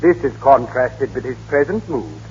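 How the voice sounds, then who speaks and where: An actor playing a pompous psychiatrist in an old radio drama, husky-voiced, lecturing precisely. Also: male voice, mid-range voice, expressive, normal speed, darkish tone. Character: pompous male Austrian psychiatrist giving expert testimony. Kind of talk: 1930s-40s radio drama acting. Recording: echoey, muffled old radio broadcast.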